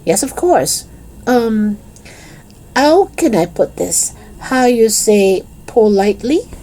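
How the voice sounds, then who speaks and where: A woman's voice: fairly high pitch, big pitch swings, mellow, pitched above the performer's own voice. A woman narrator voicing a tentative, awkward schoolboy who puts on a French accent, in a children's audiobook. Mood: tentative, apologetic. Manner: hesitant, halting.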